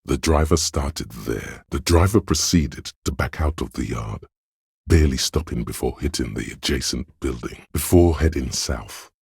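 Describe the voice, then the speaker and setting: A man voicing a detective's coolly observant inner voice of visual reasoning in a video game, narrating in a measured, clinical, matter-of-fact way with a deep, gravelly tone. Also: expressive, darkish tone, no room sound, studio quality, heavily acted. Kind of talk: scripted second-person game narration.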